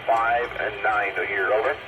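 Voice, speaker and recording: A man comes through a CB radio transmission buried in loud noise and static. He talks casually but haltingly, in the clipped jargon of a radio operator making a contact. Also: fairly low pitch, little pitch movement, dull audio.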